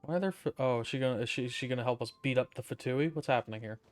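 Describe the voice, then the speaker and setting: A man's voice: medium pitch, very fast. Offhand male gamer commenting over game music. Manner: offhand, wry, muttering asides. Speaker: streamer playing a game. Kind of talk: casual gameplay commentary.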